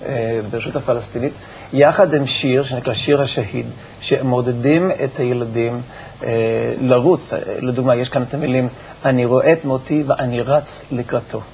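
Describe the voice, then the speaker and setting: A man giving explanatory answers as an expert guest in a television interview, with a halting, repetitive delivery and a creaky voice. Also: mid-range voice, fairly even intonation, darkish tone. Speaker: research institute director, interview guest. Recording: muffled, noisy TV broadcast recording.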